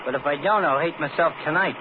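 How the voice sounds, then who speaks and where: A man's voice: fairly high pitch, fast talker, lightly acted. An actor playing a genial, dim-witted New hired gunman in a 1950s radio drama, slangy, apologetic and matter-of-fact. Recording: tinny, noisy old radio recording.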